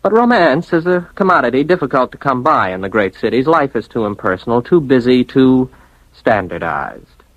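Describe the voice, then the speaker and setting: A man's voice: medium pitch, big pitch swings, normal speed, no echo, highs cut off, lightly acted. An American man playing a lonely, diffident young office worker with romantic ideas in a 1950s radio drama, wry, articulate and hesitant. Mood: wry, wistful. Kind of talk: 1950s radio drama acting, first-person narration.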